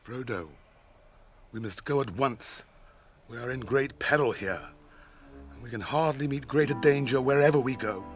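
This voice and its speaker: An actor playing a grave, watchful ranger in a radio drama, with a British accent and solemn, measured phrasing. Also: male voice, mid-range voice, faint music behind, natural voice. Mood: urgent, concerned. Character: grave, watchful ranger guiding hunted companions.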